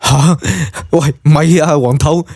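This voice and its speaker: A man's voice: a little high, steady tone, measured pace, a little mellow, no room sound, clean studio sound. A man voicing a brash, foul-mouthed young male hero of an audio drama, blunt and slangy, with a Hong Kong Cantonese accent. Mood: demanding.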